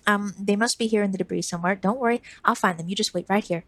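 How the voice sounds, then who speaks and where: A woman's voice: medium pitch, quick pace, no room sound, close to an everyday voice. A woman voicing an eager, trusting young man who talks in earnest, pleading bursts. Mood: frantic.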